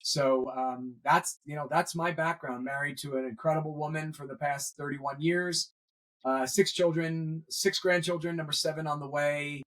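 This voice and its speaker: A middle-aged man, a grandfather, speaking earnestly as an interview guest, pausing often with fillers. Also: on the high side, fairly even intonation, fairly bright tone, poor audio. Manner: earnest, hesitant with many fillers. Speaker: guest, veteran educator. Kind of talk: conversational interview.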